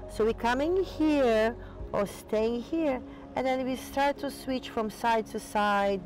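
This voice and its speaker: A woman teaching yoga, giving slow, soft step-by-step cues on breath and stretching, with a voice. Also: a little high, poor audio. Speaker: yoga instructor. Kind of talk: guided yoga instruction. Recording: voice over loud music.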